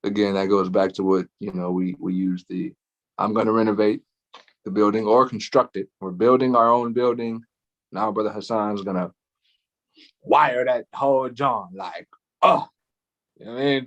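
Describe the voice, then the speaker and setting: A man's voice: mid-range voice, low-quality recording. A man co-hosting a casual astrology chat, laid-back, with frequent laughs and lots of fillers. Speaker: astrology show co-host.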